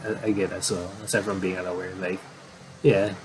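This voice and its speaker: A man doing casual game commentary and reading aloud, in a halting, rambling way with repeated words. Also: deep voice, flat delivery, noisy background.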